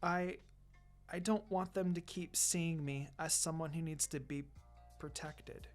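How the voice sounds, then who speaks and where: A man's voice: fairly high pitch, fairly even intonation, a little slow, close to an everyday voice. A man voicing a shy, earnest young girl ninja who speaks hesitantly and politely in a story reading. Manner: hesitant, soft-spoken, polite. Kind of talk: fan fiction reading with character voices. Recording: music behind the voice.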